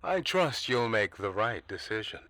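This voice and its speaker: A man playing a cryptic, slyly menacing old man leaving recorded clues in an audio drama, theatrical and deliberate. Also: fairly low pitch, sing-song, pushed and bright, no room sound. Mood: quietly menacing. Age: older. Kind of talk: comedic audio drama acting.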